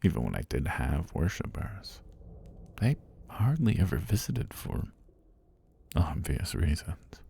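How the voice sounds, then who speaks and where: Man as a breathy, deep serpent god. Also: very animated, warm tone, exaggerated voice. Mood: wistful, gentle. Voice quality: husky, breathy low voice. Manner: slow, deliberate, formal speech with whispery delivery. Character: ancient male serpent god, calm, predatory yet tender. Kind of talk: intimate ASMR roleplay monologue.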